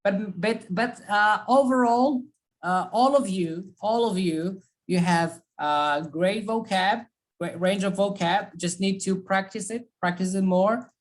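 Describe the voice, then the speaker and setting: A woman's voice: mid-range voice, a little slow. A woman teaching an online speaking class, giving feedback and advice. She repeats her key points and keeps checking in with "okay?".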